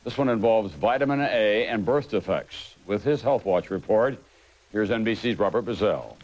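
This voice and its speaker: Low-voiced male news anchor, slightly muffled. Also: expressive, moderate pace, a little mellow. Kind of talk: scripted news introduction.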